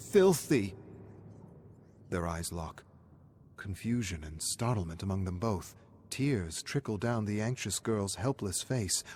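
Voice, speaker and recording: Low-voiced man narrating horror. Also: slight background noise. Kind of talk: dramatic scripted horror story narration.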